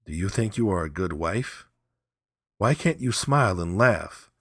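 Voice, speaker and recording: A man voicing a proud, hot-tempered, scheming husband in a storybook, forceful and demanding. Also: on the low side, lively intonation, a little slow, no room sound, studio quality. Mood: angry.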